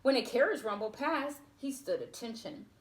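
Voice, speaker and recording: A woman reading a storybook aloud to children, expressive, with chatty asides spoken straight to her young listeners. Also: high-pitched, normal speed, roomy sound.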